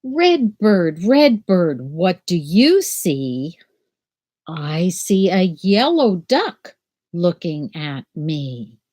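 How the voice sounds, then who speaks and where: Older woman, teacher, slow and unhurried, lively rising and falling voice, webcam in a small room. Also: lowish voice. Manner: slow, gentle, sing-song storybook reading. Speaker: teacher reading aloud to children. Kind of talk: children's read-aloud lesson. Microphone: laptop webcam video call.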